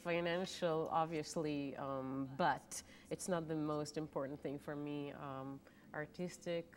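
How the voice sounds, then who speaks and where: A woman with a low voice who speaks English as a second language after Hebrew. She talks with animation and laughs easily. She is on a lapel mic in a TV studio, with a faint hiss underneath. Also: fairly even intonation, unhurried. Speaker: opera singer guest. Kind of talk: TV studio interview.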